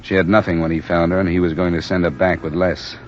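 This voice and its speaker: An actor playing a hard-boiled insurance investigator in a 1950s radio drama, clipped and matter-of-fact. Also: male voice, low voice, little pitch movement, fast talker, a little hiss or hum, lightly acted. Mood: tense, probing. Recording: dull old radio transcription with music.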